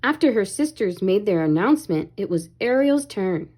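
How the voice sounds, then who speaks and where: Animated, mellow-voiced woman reading a storybook. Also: mid-range voice, normal speed, slightly rough. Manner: animated, addressing young listeners. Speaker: children's storybook reader. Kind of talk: expressive read-aloud narration, pausing to ask children questions.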